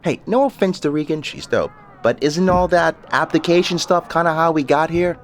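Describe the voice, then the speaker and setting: A man's voice: fairly high pitch, normal speed, natural voice. An actor playing a laid-back modern teenage boy in a fantasy audio drama, casual and slangy. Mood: questioning.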